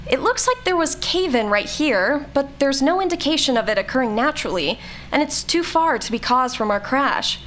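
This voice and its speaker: A woman playing a snarky, brisk scientist in an audio drama, with a dry, instructing manner. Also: high voice, lively intonation, fairly bright tone, slight background noise. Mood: curious, focused. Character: snarky, brisk female scientist exploring a planet.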